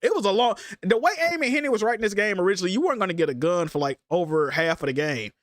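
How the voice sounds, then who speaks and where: Congested streamer man, high voice. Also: lively intonation, racing, dry recording, studio quality. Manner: loose slangy banter, swears often. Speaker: streamer host. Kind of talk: casual livestream chat.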